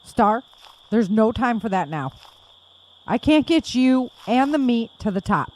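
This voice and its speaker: A tense survivor on the run in an audio drama, her lively voice climbing and falling with urgency. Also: a little high, a little slow. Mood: urgent. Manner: urgent, expressive.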